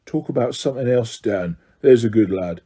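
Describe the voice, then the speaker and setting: A man voicing a slow-talking, gruff older male bus driver who answers in terse grunts in a storybook reading. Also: medium pitch, warm tone, voice pushed down, lightly acted.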